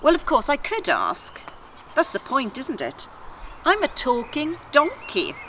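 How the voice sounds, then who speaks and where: A woman's voice: high voice, wildly swooping pitch, measured pace, a bit bright, a little hiss or hum, voice pushed up, exaggerated voice. A woman voicing a female talking donkey from a storybook, wry and self-amused. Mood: playful, wry. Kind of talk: storybook character dialogue.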